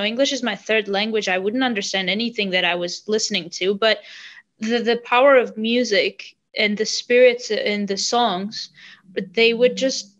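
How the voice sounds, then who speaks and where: A woman, a singer talking earnestly in an interview, often pausing and restarting. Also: medium pitch, a bit bright, dull audio. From Armenia. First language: Armenian. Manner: earnest, halting with restarts and ums. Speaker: singer guest. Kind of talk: casual interview chat.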